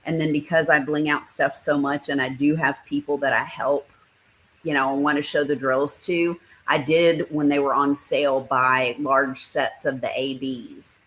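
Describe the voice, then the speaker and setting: Low-voiced woman chatting on a livestream. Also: steady tone, normal speed, a bit bright. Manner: rambling, reads chat comments aloud. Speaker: livestream host. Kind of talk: casual livestream chat.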